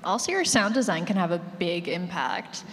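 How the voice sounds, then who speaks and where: A woman's voice: fairly low pitch, expressive, normal speed. A woman offering tips as a speaker on a discussion panel. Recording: echoey hall with faint noise.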